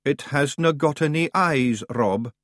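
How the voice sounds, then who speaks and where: A man's voice: on the high side, measured pace, fairly bright tone, dry recording, studio quality, pitched above the performer's own voice. Man doing a meek little Scottish fairy.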